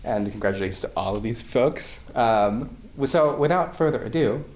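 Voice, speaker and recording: Echoey mid-low voice introducing a play. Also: animated, normal speed, a little mellow. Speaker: director introducing a staged reading. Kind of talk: informal introductory speech to an audience. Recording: echoey room.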